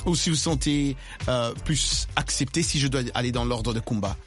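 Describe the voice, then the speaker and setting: A man's voice: medium pitch, normal speed, faint music behind. A man hosting an interview, talking with many hesitations and restarts. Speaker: radio show host.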